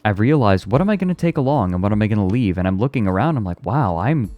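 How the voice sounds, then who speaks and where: Deep-voiced young man, stammering casual chat. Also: big pitch swings, quick pace, dry recording, dull audio. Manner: stammering, repeats words. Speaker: streamer.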